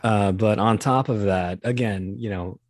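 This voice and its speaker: Low-voiced man, halting and self-deprecating. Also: no room sound, clean studio sound. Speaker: podcast guest, voice performer. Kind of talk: casual interview chat.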